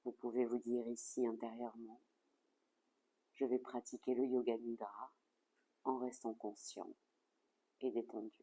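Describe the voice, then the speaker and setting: A yoga nidra instructor leading a guided relaxation in a calm, soothing, measured way with soft phrase endings. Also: mid-range voice, slightly rough, rough recording.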